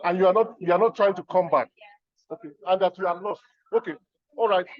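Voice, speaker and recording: A middle-aged man from Nigeria giving measured, reflective remarks as a discussant on an academic conference panel. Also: high-pitched, muffled recording. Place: conference room.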